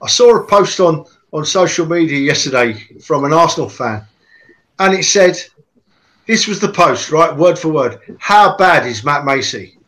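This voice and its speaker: A man, talking football in a lively, emphatic casual chat and repeating lines for effect. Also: on the high side, normal speed, low-quality recording. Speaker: podcast co-host.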